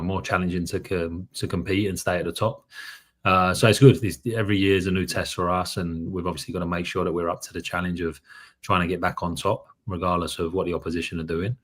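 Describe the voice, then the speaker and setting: A man, a head coach, answering interview questions in a measured, steady way. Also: deep voice. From from abroad, later lived in New York. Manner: measured, steady, with frequent "um" and "I think".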